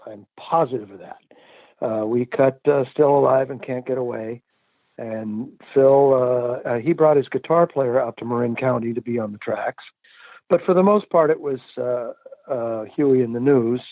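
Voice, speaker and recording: A man's voice: mid-range voice, normal speed, dry recording. Older man on a tinny phone line. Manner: reflective, laughs often, many fillers. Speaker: musician guest. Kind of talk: casual interview answers.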